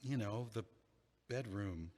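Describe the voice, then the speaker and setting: A man's voice: fairly low pitch, takes it slowly, darkish tone, big-room sound. An actor playing a hesitant, self-deprecating man who rambles as he pictures his old home, in an audio play. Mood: rambling. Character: nervous, wry man locked out of his home.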